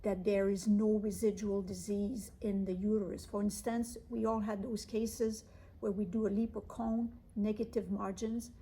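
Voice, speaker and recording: A woman answers interview questions as a medical expert. Her voice is mellow and husky. She explains research findings carefully, in measured phrases. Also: medium pitch, flat delivery, rough recording.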